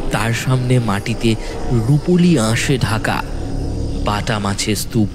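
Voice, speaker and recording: Male horror narrator over prominent music. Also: medium pitch. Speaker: story narrator. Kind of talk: dramatic scripted horror story narration.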